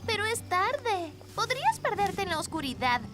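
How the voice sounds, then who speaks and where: A woman's voice: extremely high pitch, big pitch swings, normal speed. A woman voicing a sweet, perky, kindly little girl in a children's cartoon dub. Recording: loud music behind the voice.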